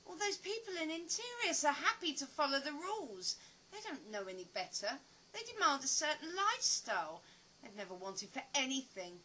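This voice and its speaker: A woman's voice: sits high, very animated, brisk, sharp tone, slight background noise, exaggerated voice, rough recording. An actress playing an earnest, philosophical woman survivor in an audio play, with reflective, dramatic phrasing. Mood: earnest, intense.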